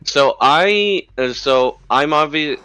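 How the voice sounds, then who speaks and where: A man, chatting casually and telling stories in an animated way. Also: a little high, slow, smooth, dry recording. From United States. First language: English. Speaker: guest chatting with the streamer. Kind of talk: casual chat.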